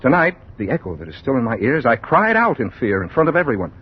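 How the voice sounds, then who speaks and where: Husky, anguished man, old radio. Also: mid-range voice, big pitch swings. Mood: haunted, confessing. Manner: halting, fervent, dramatic, repeating phrases. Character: anguished young man, lifelong fearful, confessing his story. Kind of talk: 1940s radio acting. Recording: muffled old radio drama recording.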